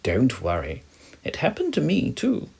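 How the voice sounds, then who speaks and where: A man's voice: medium pitch, wildly swooping pitch, measured pace, vocal fry, slightly rough, pitched above the performer's own voice, full character voice. A man voicing a wise, kindly sun who patiently mentors a young star in a bedtime story. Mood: reassuring. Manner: reassuring, gentle.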